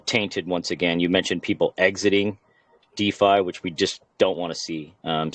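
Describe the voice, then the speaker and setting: A man with a very low, flat voice speaks in a dry, close sound. He answers questions from a project team, working through his points with lots of ums and restarts. The delivery is casual and unpolished. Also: no room sound. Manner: many fillers and restarts, talking points.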